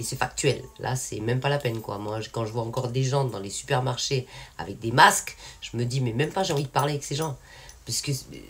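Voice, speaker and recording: A woman from France talking casually and frankly to her viewers, rambling with many fillers and restarts. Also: rumbling low. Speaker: independent singer and channel owner. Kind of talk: casual spoken update to viewers.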